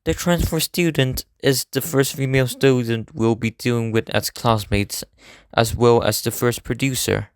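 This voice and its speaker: A man acts as a serious teenage idol student in an anime fan dub. His voice is smooth and clear in a dry, close recording. He explains things earnestly, in formal, measured sentences. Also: mid-range voice, fairly even intonation, no room sound, natural voice. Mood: hopeful, determined. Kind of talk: scripted anime game fan dub acting.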